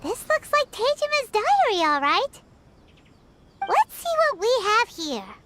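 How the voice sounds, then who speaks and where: A woman voicing a tiny, excitable floating girl companion in a game dub, chirpy and quick to exclaim. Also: extremely high pitch, noisy background, heavily acted. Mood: eager. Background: game music.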